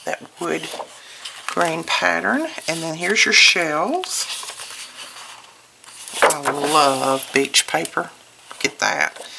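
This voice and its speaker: Woman, hoarse, slow-paced, casual craft video host, chatty, noisy recording with crinkling paper. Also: low voice. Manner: unhurried, chatty, commenting piece by piece. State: hoarse, recovering from illness. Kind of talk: casual product showcase, talking while handling items. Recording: clear background noise, paper crinkling and clicks. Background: crinkling paper and packaging.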